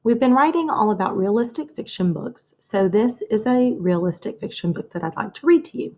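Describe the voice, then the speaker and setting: A woman reading a children's story aloud in a measured, expressive way, as a teacher would for young listeners. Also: mid-range voice. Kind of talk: children's read-aloud narration.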